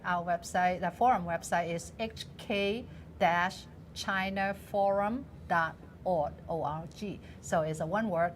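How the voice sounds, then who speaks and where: A woman from Hong Kong with a Hong Kong accent, her native tongue Cantonese, speaking as an interview guest in a TV studio, hesitant with many fillers and quick to laugh. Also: on the low side, slight background noise. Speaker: guest, business association president.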